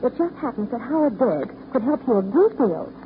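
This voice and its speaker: An actress playing a spoiled, restless divorced socialite in a 1930s radio drama, theatrical and cajoling, with snippy endearments. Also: female voice, fairly high pitch, sing-song, normal speed. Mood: haughty, needling. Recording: noisy, tinny 1930s radio broadcast.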